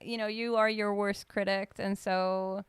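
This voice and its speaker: A woman chatting on her podcast in a smooth, clear voice, recorded close and dry. She rambles, restarts her sentences and leans on fillers as she talks. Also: mid-range voice, flat delivery, unhurried, no echo. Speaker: podcast co-host. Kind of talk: casual chat.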